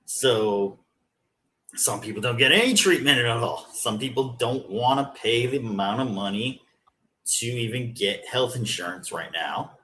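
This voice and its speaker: A man co-hosting a podcast, calmly walking through his views on spending and health insurance in a casual discussion. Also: mid-range voice, measured pace, slight background noise. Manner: explains at length, methodical. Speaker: podcast co-host.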